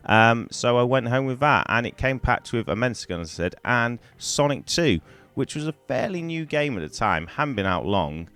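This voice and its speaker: A middle-aged man from Wales, hoarse from a cold, chatting to camera in a rambling way on a poor-quality microphone. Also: mid-range voice, expressive, normal speed, fairly bright tone. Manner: rambling, chatty, many ums and restarts. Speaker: gaming YouTuber. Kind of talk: casual to-camera storytelling.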